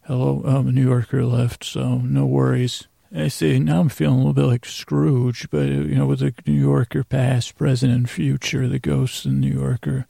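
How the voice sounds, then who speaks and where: A man hosting a podcast with a rambling bedtime monologue, meandering, halting and circling back on his own sentences. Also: medium pitch, little pitch movement, vocal fry, dark tone, no room sound. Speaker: podcast host.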